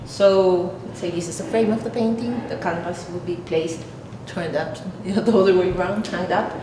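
A woman in her thirties from Peru with a Peruvian Spanish accent, an artist explaining her work haltingly, searching for words. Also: fairly even intonation. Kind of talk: explaining own artwork to camera. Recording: echoey room, clear rumbling background noise.

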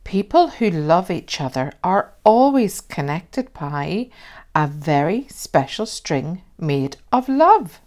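A woman voicing a loving, reassuring young mother of twins in a children's storybook, gently and with emphasis. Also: lowish voice, very animated, takes it slowly. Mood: tender.

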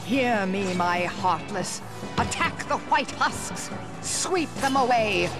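A woman's voice: medium pitch, lively intonation, takes it slowly. Haughty evil witch from a video game, big dramatic swoops, bossy, music blaring behind. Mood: commanding. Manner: commanding, haughty. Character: imperious, scornful evil sorceress. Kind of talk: theatrical video game villain acting.

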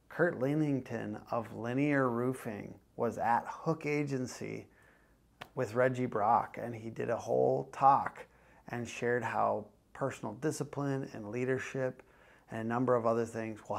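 A man's voice: mid-range voice, measured pace, creaky. A man at a desk introducing a video to camera in an upbeat, casual way. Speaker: agency host. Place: small studio office. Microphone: lapel.

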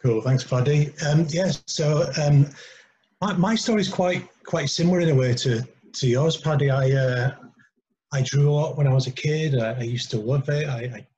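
A man's voice: medium pitch, a little mellow, breathy edge. A middle-aged British man co-hosting a meetup informally, hesitant and often repeating words as he goes. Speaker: meetup co-host. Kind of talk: informal introduction, personal talk. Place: online video call. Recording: slightly dull online-call audio.

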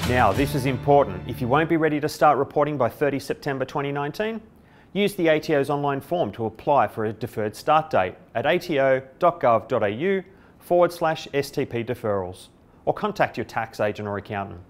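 Man, middle-aged, Australian accent, medium pitch, scripted company explainer, lapel mic, faint music. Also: expressive, a little fast. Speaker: company presenter. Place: indoor office by a window.

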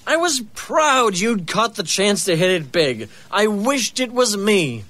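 A man's voice: high voice, very animated, slow pace, bright, forceful tone, dry recording, full character voice. An actor playing a bitter, intense man accusing his rival in an audio drama. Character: bitter ousted male radio host Len, accusing. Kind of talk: radio drama acting.

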